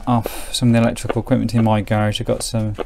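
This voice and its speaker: A middle-aged British man giving a casual, unhurried hands-on commentary as he demonstrates something, thinking aloud as he goes. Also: fairly low pitch, completely flat delivery, vocal fry. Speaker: presenter demonstrating a vintage radio. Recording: faint background noise, clicks of handling.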